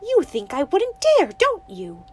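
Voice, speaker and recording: Fields: Figure: woman playing a restless young girl. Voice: high, wistful. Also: expressive, measured pace, darkish tone. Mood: defiant. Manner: pleading, then defiant.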